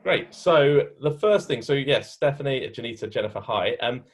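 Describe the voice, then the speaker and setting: A man's voice: mid-range voice, expressive, moderate pace. A man hosting an online meet-up and moderating a panel, speaking casually with many ums and restarts. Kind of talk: casual moderating on a video call.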